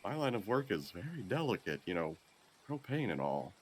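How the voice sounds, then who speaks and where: A man's voice: on the low side, sing-song, moderate pace, slight background noise. A man voicing a shady, folksy merchant in a game, flirty and cagey with salesman patter. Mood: sly, flirty.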